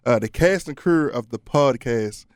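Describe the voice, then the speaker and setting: Slangy man radio DJ, medium pitch. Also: animated, measured pace, dry recording, studio quality. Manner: informal, slangy, joking with guests. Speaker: radio DJ and co-host. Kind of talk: casual radio interview chat.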